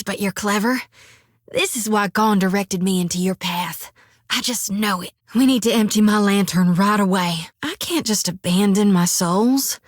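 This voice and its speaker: An actress voicing a devout, fiery young priestess in a video game, with a folksy drawl and country sayings, recorded on a dry studio mic. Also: female voice, mid-range voice, no echo, lightly acted. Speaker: game companion character.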